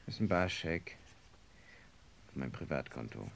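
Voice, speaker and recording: A low, gravelly male voice as an imperious rich industrialist in a German radio play. He is impatient and used to commanding, over noticeable hiss. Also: lively intonation, measured pace, full character voice. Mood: smug, elated. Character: wealthy industrialist reborn in a young body, imperious, impatient. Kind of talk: German radio drama acting. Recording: hissy radio play recording.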